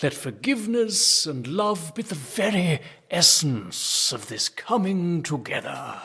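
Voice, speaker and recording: A man's voice: fairly high pitch, big pitch swings, gravelly, sharp tone, slightly rough, full character voice. A man playing a pious, preaching monk in a comic period audio drama, with lofty biblical delivery. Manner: lofty, slow biblical sermonizing. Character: sanctimonious preaching monk in sackcloth.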